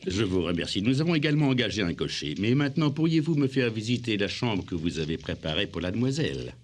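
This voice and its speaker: An actor playing a fussy, formal gentleman agent in a French cartoon dub, courteous but demanding. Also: on the low side, big pitch swings, fast.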